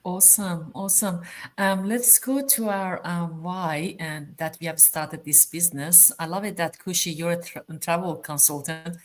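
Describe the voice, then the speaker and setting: A woman's voice: fairly low pitch, normal speed. Enthusiastic woman hosting a panel. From Australia. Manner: enthusiastic, filler-heavy. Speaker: panel moderator. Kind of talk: live online panel chat.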